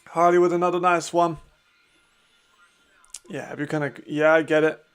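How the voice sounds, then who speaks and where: Raspy gravelly man chatting casually. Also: a little high, little pitch movement, a little slow. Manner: chatty, laughs often, talks to himself. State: hay fever. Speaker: watch-along host. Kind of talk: casual live commentary.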